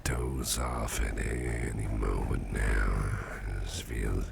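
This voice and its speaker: A man playing a sickly, drowsy, kindly boss in an ASMR roleplay, murmuring breathily and haltingly in a gravelly voice, often repeating himself. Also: very deep voice, flat delivery, very noisy, full character voice. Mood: drowsy, affectionate. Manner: slow, rambling, hesitant, repeating himself. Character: sickly, drowsy, sweet-tempered boss confiding in his employee.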